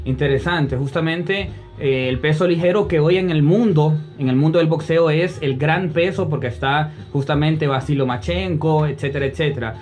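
A man's voice: fairly high pitch, normal speed, hardly any pauses, darkish tone, faint music behind. A man with a Honduran accent conducting a conversational sports interview, wordy and repeating phrases as he builds questions.

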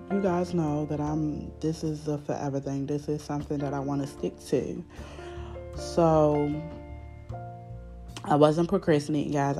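Low, mellow Baltimore woman, raspy. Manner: chatty, rambling, frequent fillers and restarts. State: raspy voice from recent illness. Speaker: podcast host. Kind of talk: unscripted casual monologue.

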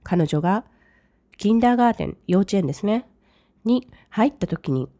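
A woman who teaches English, reading each English line slowly and then translating it briskly. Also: medium pitch, expressive, dark tone, dry recording, clean studio sound. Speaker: language teacher. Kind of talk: bilingual lesson commentary.

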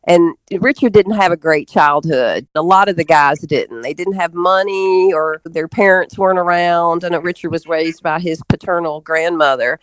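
A woman's voice: on the low side, normal speed, few pauses, dry recording, poor audio. Woman being interviewed, chatty rambling storyteller, keeps backtracking, close dry sound. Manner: rambling, often backtracking mid-sentence. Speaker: interview guest. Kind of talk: casual interview storytelling, reminiscing.

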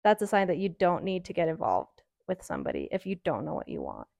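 A woman's voice: on the low side, huge pitch swings, quick pace, vocal fry, darkish tone, no room sound. A woman in her early twenties talking casually and frankly on her own, rambling with lots of "um" and "like.". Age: early 20s. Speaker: podcaster. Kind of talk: casual solo chat.

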